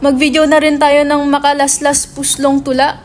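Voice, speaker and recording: A woman's voice: high voice, completely flat delivery, normal speed, a little hiss or hum, lightly acted. A woman playing a brash, foul-mouthed young male writer in a dramatic script reading, slangy and insistent. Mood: argumentative.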